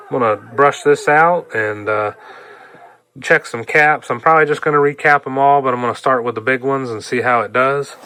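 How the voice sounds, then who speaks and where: A man gives an informal, folksy hands-on repair demonstration, thinking aloud as he works. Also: medium pitch. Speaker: hobbyist repair vlogger. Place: indoors at a repair workbench. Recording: clear steady background noise.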